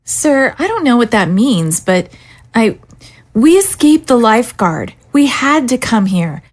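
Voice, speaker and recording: Audio drama refugee girl, played by a woman: high, expressive, earnest, hesitant and respectful. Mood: anxious. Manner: halting, earnest, deferential. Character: anxious, pleading young female refugee.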